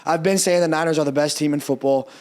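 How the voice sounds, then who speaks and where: A young man whose first language is Portuguese, talking football with friends on a podcast. His voice sits a touch high for a man, and he talks in quick, animated bursts, often cutting himself off and swearing freely. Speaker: podcast co-host. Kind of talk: casual sports chat.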